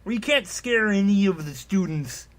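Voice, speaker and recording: A man's voice: sits high, animated, unhurried, fairly bright tone, voice pushed up. A man playing a stern guardian of a magical school, growling grave commands in a dramatic story reading. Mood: grim, commanding. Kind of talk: dramatic fan fiction reading.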